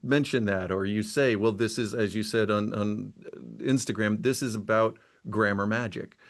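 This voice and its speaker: A man's voice: fairly low pitch, steady tone, normal speed. A middle-aged man hosting an interview, conversational and prone to stumbling into his questions. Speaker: podcast host. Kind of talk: casual interview.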